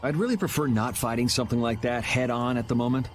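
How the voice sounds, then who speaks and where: A man's voice: mid-range voice, fast, over loud music, close to an everyday voice. A man voicing a laid-back, sardonic young adventurer in an anime video game dub. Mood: dry, teasing.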